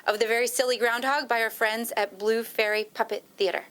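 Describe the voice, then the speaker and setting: An actress playing a cheerful, polite librarian who addresses children and parents like a public announcer in a video game. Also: female voice, fairly high pitch, fairly even intonation, fairly quick, close to an everyday voice. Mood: cheerful, welcoming. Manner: bright public-announcement delivery. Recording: faint noise of amateur tape recording.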